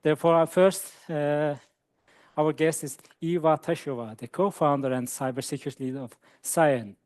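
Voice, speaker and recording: A man moderating a panel discussion, speaking in halting phrases with short thank-yous. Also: on the high side, steady tone, darkish tone, dry recording. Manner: halting, repeats words, short acknowledgments. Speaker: webinar moderator.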